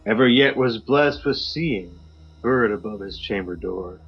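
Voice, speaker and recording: A man co-hosting a podcast, giving a theatrical poetry reading that swings between loud highs and hushed drops. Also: lively intonation, measured pace. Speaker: podcast co-host. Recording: slightly muffled with faint music.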